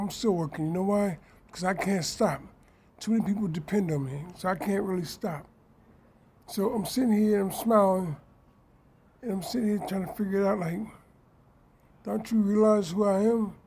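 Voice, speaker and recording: A man talks straight to his followers in a casual live stream, repeating his points with emphasis. His voice is dark and mellow, a little rough from a cold he is getting over. A faint hiss of noise sits under his talk. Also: high-pitched. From New York. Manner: emphatic, repetitive, self-promoting. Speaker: fashion designer and business owner.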